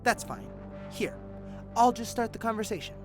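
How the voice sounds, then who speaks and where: A smug mocking cop in an audio drama interrogation, really animated, music under it. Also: male voice, sits high, moderate pace. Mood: mock friendly. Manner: mocking, theatrically drawn out. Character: taunting, sarcastic male detective interrogating a suspect.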